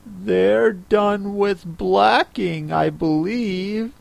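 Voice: medium pitch, extremely slow, gravelly, no echo, pitched above the performer's own voice, heavily acted. A reader voicing a timid, polite and thoughtful young girl in a storybook, hesitant and full of wonder. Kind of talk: storybook character reading.

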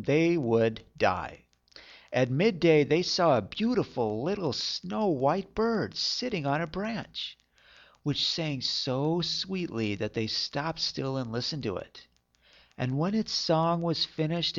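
Man reading a fairy tale, muffled recording. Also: mid-range voice. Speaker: storyteller, narrator.

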